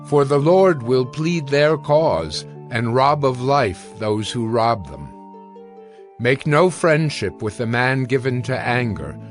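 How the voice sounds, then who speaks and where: A man narrating scripture as a dramatized audiobook, in a solemn, admonishing manner. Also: medium pitch.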